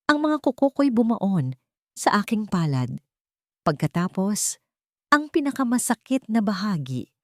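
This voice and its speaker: A woman's voice: medium pitch, darkish tone, no room sound, studio quality. A woman reading a scripted first-person story in a dramatic, solemn manner. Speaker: narrator.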